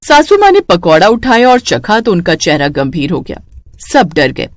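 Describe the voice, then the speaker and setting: A woman's voice: on the low side, wildly swooping pitch, moderate pace, dry recording. A woman narrating a scripted cartoon story as its storyteller.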